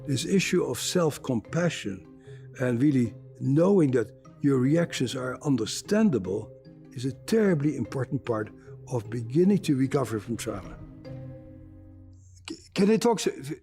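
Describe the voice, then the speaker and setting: An older man speaks with a gravelly, slightly worn voice at an ordinary middle pitch. He is a psychiatrist, and in an interview he explains his work in a measured way, dropping the odd wry aside. Soft music plays faintly underneath. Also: lively intonation.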